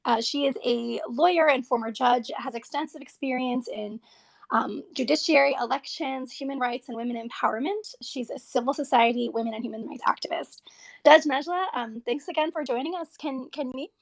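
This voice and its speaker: A woman's voice: high voice, brisk, vocal fry. A woman hosting an interview podcast, introducing the show and its guests eagerly, with frequent ums and restarts. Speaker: host.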